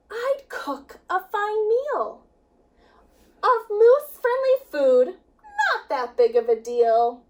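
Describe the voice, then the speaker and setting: A woman reading a rhyming children's story aloud, expressively and with dramatic pauses. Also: high voice, a little mellow. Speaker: children's director reading a picture book. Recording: distant microphone.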